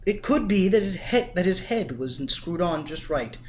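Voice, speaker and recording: A man reading a rhyming children's story aloud in an expressive, theatrical and emphatic way. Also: sits high, moderate pace, a little hiss or hum. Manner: theatrical, emphatic, swelling and dropping for effect. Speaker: storybook reader.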